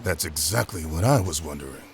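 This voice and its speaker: Man playing a deep, gravelly pirate quartermaster. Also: expressive, brisk, slight background noise. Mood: stern. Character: gruff, dutiful male pirate quartermaster.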